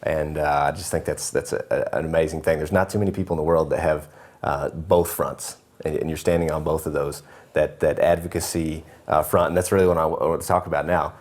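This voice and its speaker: A man's voice: a bass voice, little pitch movement, brisk, a little mellow. A man interviewing a guest, enthusiastic and repeating words as he searches for them. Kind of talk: interview questions.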